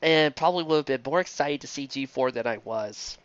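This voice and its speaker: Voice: mid-range voice, brisk, no room sound. Chatty, rambling reviewer, dull lo-fi sound. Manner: digressive, chatty, stumbles and restarts mid-phrase. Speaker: fan fiction reviewer. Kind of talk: casual rambling review monologue. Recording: dull lo-fi close dry recording.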